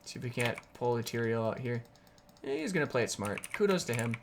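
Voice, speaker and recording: A streamer's voice, raspy and sore, talks through a match live in a middle pitch, explaining each play and now and then jumping into excited outbursts. Faint keyboard clicking sits quietly behind the voice at the desk mic. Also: a little fast, noisy background. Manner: upbeat, explains plays, with sudden excited outbursts. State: raspy, sore voice from energy drinks. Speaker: game streamer. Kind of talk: live gameplay commentary.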